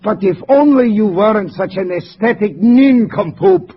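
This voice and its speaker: An actor playing a haughty, temperamental Russian playwright in a theatrical, petulant 1950s radio acting style. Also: male voice, sits high, expressive, moderate pace, over music. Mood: scornful. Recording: muffled old radio recording with noise.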